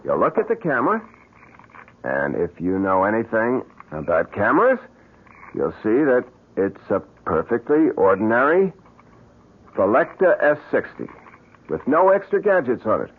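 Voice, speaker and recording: An American actor playing a stubborn, brash photographer who argues informally, in a radio drama. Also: male voice, mid-range voice, sing-song, normal speed, breathy edge. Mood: exasperated, protesting. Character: stubborn, quick-tongued male photographer, an everyman hero. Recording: muffled old radio broadcast.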